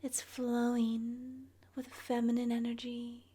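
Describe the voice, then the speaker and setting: Slow, breathy, whispering woman. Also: fairly high pitch, monotone. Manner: soothing, gentle, hushed, with long pauses. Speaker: guided meditation host. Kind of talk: ASMR guided meditation roleplay, soft whispery narration.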